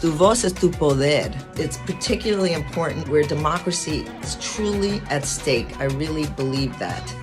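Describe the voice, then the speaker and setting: Female narrator, earnest and measured, over a clear music bed. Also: sits low, lively intonation. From Ecuador. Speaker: singer and activist. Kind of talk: scripted promo narration.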